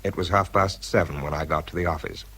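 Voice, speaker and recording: A man's voice: a bass voice, fairly even intonation, fast talker, bright, forceful tone, slightly rough, exaggerated voice. An actor playing an exhausted, self-doubting British bomb disposal man in a radio drama, introspective and understated in narration and dialogue. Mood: weary, reflective.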